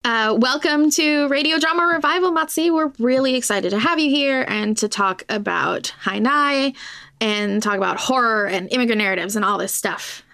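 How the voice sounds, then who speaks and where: Higher-voiced woman podcast interviewer. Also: normal speed, a bit bright, no echo. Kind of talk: casual interview chat.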